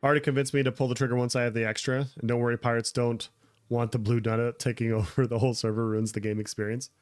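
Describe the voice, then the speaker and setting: A man's voice: medium pitch, little pitch movement, fast, no room sound. A man chatting casually on a gameplay livestream, answering viewer comments as he plays. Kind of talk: casual gameplay stream chat.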